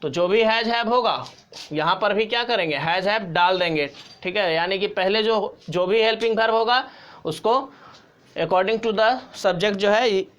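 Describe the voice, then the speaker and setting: A man's voice: high-pitched, unhurried, a bit bright, smooth, muffled recording. A man with an Indian accent teaching English grammar step by step, repeating key points in an instructional way. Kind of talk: step-by-step tutorial explanation.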